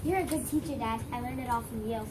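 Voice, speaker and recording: Young woman playing merchant's daughter, loyal, anxious, high voice, amateur play reading, distant noisy recording. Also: fast, close to an everyday voice. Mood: earnest. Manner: reading lines aloud. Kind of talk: amateur reader's theater. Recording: distant mic, loud background noise.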